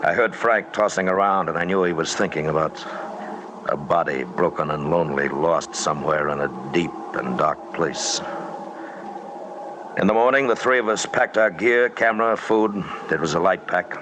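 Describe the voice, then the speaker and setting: Determined male expedition leader, played by a radio actor: lowish voice, terse dramatic delivery, very noisy vintage recording. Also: close to an everyday voice. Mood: haunted, suspenseful. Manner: terse, intense, deliberate. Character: determined, haunted male expedition leader telling his story. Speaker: lead actor and narrator. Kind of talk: dramatic first-person narration, old-time radio acting.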